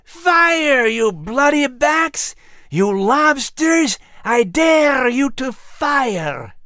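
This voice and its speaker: A man voicing a furious colonial street rioter in an audiobook, shouting taunts in drawn-out cries. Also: extremely high pitch, big pitch swings, no room sound, clean studio sound, voice pushed up, heavily acted. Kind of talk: audiobook character acting.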